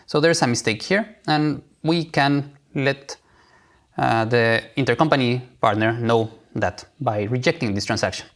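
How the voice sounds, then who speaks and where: Male software presenter, mid-range pitch, creaky edge, informal step-by-step demo delivery. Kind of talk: live software demo walkthrough.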